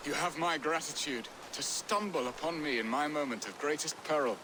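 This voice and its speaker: An actor playing a self-indulgent, melodramatic Saxon lord in a video game, wry and theatrical. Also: male voice, a little high, fairly quick, noisy background, close to an everyday voice. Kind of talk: video game cutscene acting.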